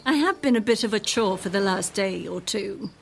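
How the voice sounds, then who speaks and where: An actress playing a bitter, volatile, sarcastic wife from another dimension in a radio drama. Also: female voice, medium pitch, expressive, moderate pace, creaky, faint music behind. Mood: breezy, teasing.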